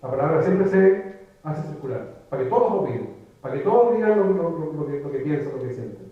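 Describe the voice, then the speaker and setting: A man's voice: a little high, sing-song, fairly quick. A man giving a reflective talk to a live audience in a lecture room, often restarting and repeating words as he thinks. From Chile. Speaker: writer giving a public talk. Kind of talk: conversational lecture. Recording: echoey phone-like room recording from far off.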